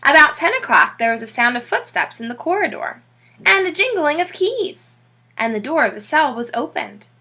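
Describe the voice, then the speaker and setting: Female storybook narrator, teacherly and expressive: slightly high, very lively pitch, bright tone. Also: moderate pace. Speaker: teacher reading aloud.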